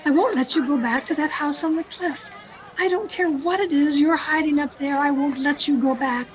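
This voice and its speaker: A woman playing an obsessive, lovestruck mystery novelist in melodramatic old-time radio style, with pleading, deliberate delivery. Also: high-pitched, lightly acted. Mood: desperate. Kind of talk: 1940s radio mystery acting and narration. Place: at home, online video-call performance.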